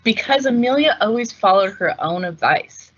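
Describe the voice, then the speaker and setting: A woman with a bright, forward voice narrates a children's picture book at a mid pitch. Her delivery is measured and deliberate, shaped for storytelling. The recording is a little dull and lo-fi. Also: expressive, slightly rough. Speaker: read-aloud host.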